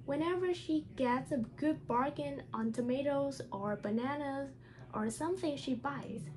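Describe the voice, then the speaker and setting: A woman speaks in careful, halting non-native English, in the style of a teacher reading a children's storybook aloud. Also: high voice, a little mellow, low-quality recording.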